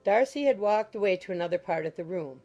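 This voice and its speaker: A woman's voice: mid-range voice, lively intonation, quick pace, warm tone, lo-fi sound, faint music behind. A woman reading scripted literary narration for a dramatized audiobook.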